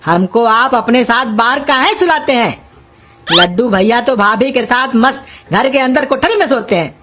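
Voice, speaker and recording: Lady doing a dopey half-deaf young guy in a cartoon, whiny, high voice. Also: lively intonation, normal speed, over loud music, natural voice. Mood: whiny complaining. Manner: whiny, naive, loudly querulous. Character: silly, half-deaf, complaining young male village helper. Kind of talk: cartoon comedy dialogue in rustic Hindi.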